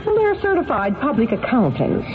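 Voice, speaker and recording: An actress playing a widowed secretary questioned as a juror, giving brief, plain answers in an old radio drama. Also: female voice, high voice, huge pitch swings, moderate pace, narrow, tinny audio. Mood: reserved.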